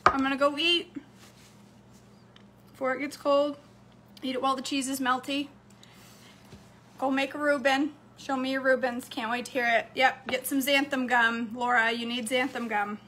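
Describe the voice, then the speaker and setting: A woman, chatting casually to her viewers from a home kitchen, slightly hoarse. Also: sits high, flat delivery, moderate pace, pauses often, sharp tone, slight background noise. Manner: chatty, upbeat, talks straight to camera. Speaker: cooking vlogger. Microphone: phone camera close up on a counter.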